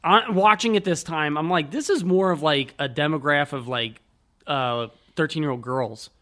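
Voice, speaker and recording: Bright-voiced man chatting about movies. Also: fairly high pitch, normal speed. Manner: informal, slangy, occasionally profane. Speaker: film podcast co-host. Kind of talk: casual movie discussion.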